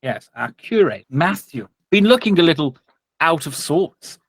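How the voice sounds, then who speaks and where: A man's voice: on the high side, big pitch swings, a little slow, dry recording, pitched above the performer's own voice. A man acts out a pompous church father for a tabletop game. He speaks in formal, stately phrases and takes offence easily, in a close, dry recording. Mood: magnanimous. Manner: formal, stately, indignant when challenged.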